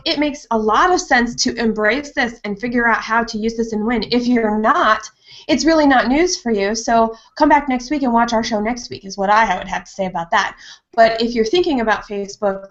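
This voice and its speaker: A woman's voice: on the high side, a bit bright. A middle-aged woman on an online panel, emphatic, repeating phrases for effect, speaking over a video call. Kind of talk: panel discussion. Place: home room with bookshelves. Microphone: earphone headset on video call. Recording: dull, lo-fi video call audio.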